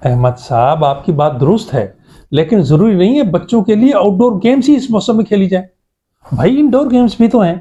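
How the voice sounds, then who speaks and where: A man's voice: sits high, lively intonation, dark tone, muffled recording. A man playing a polite family doctor in a scripted drama, giving instructive, emphatic advice. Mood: advising.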